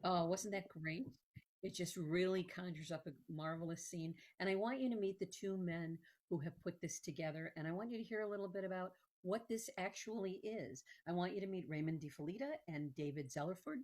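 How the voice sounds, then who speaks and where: A woman's voice: fairly low pitch, fairly quick, darkish tone. A woman hosting a conversational interview in an effusive, hesitant, rambling manner. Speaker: show host.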